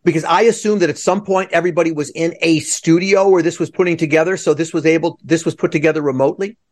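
A man's voice: a little high, fairly even intonation, quick pace. A man hosting a conversational interview, chatty and digressive, with asides to listeners. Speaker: podcast host interviewing theater people.

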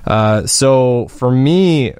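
A man's voice: medium pitch, lively intonation, very slow, darkish tone, clean tone, no room sound, clean studio sound. A man speaking conversationally as an interviewed podcast creator, explaining his process in a thoughtful, casually phrased way.

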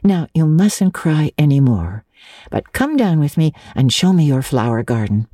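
A woman voicing a merry, kindly minister's wife in a storybook, gentle and reassuring. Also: sits low, big pitch swings, measured pace, no echo, clean studio sound, heavily acted. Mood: gently comforting.

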